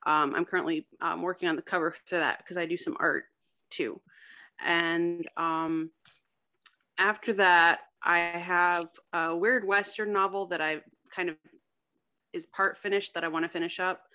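A woman's voice: on the low side, steady tone, measured pace, bright, forceful tone. A woman answering casually as a writer being interviewed. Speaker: fiction writer, interview guest.

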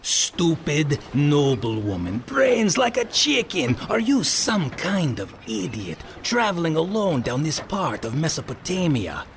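Slow, creaky, scornful soldier. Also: male voice, a little high, very animated, slight background noise. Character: scornful, mocking mercenary soldier, hard-bargaining. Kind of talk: full-cast audio drama acting.

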